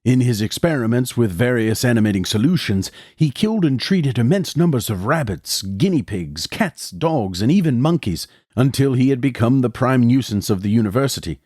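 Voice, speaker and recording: An actor playing an educated young medical student and wary accomplice in an audio drama, speaking in a formal, measured way. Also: male voice, medium pitch, lively intonation, a little mellow, no room sound, clean studio sound, natural voice. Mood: grave recounting. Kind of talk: audio drama acting and first-person narration.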